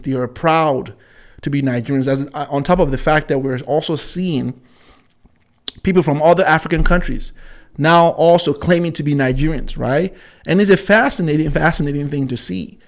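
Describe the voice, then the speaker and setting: A man giving unscripted opinion commentary as a host, haltingly, repeating words and often checking in with "right?". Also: on the high side.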